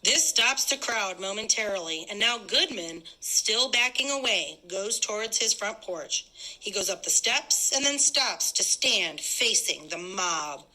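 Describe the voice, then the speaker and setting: A woman's voice: medium pitch, pushed and bright, rough recording. A woman reading stage directions aloud as the narrator of a dramatic script.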